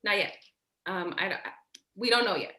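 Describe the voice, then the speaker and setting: Insecure older sister, acted by a woman, naturalistic stage drama, bright forceful tone, halting and blunt, roomy echoing sound. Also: mid-range voice, slight background noise, lightly acted. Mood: earnest, nervous. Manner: halting, repeats words, swears bluntly. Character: Jordan, insecure, blunt, protective older sibling. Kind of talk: staged play reading, naturalistic dialogue.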